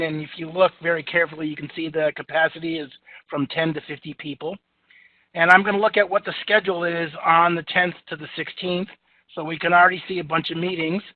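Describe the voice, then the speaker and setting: Man with a booming voice demoing software. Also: no echo. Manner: booming voice, easygoing with fillers. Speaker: software demonstrator. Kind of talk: software screen-share demo. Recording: tinny phone-like audio, dry.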